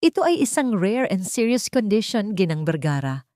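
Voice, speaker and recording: Serious doctor delivering bad news with measured compassion, performed by a female story narrator, close dry sound. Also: mid-range voice, very animated, a little mellow, no room sound, pitched above the performer's own voice, lightly acted. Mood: sympathetic, explanatory. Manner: professional, mixing English medical terms into speech. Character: grave, sympathetic doctor breaking bad news.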